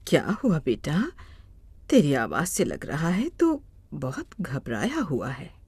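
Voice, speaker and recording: A woman voicing a loving, worried elderly blind mother in an animated moral story. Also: deep voice, slow, husky, no room sound, full character voice. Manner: tender, worried.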